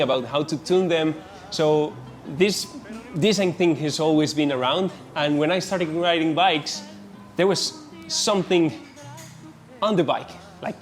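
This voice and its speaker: A man with a Colombian accent, a native Spanish speaker, casually telling his story as a company founder in an interview. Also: on the high side.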